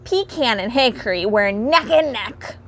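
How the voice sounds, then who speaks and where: A woman reading a children's story aloud in animated storybook narration. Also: fairly high pitch, a little slow, slight background noise.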